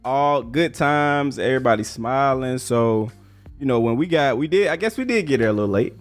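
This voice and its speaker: Casting: American male interviewer, mid pitch, relaxed slangy conversational delivery, light music bed. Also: moderate pace. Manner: laid-back, slangy, informal. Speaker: interviewer, host. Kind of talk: casual interview chat.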